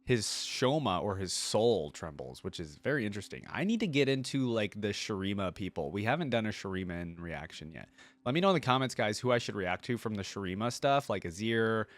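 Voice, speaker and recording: A man's voice: fairly low pitch, lively intonation, brisk. A man giving casual, chatty and curious live reaction commentary as a video host.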